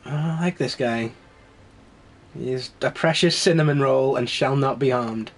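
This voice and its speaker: A man talks through a video game in a loose, rambling way, wry and quick to laugh. He sounds snuffly from a cold. His voice sits at an ordinary middle pitch. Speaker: let's play host. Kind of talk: casual gameplay commentary.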